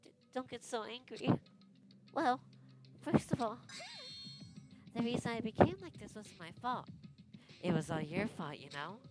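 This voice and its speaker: A woman's voice: a little high, unhurried, pauses often, natural voice. A woman voicing an obsessed young woman lost in devotion, dreamy and unsettling. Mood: manic. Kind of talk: dramatic game dialogue. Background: loud game music.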